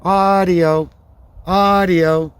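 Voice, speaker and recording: A man presenting a radio test, speaking in deliberate, repeated test phrases. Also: sits high, steady tone, clean tone, no echo. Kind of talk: radio check test announcements, demonstration.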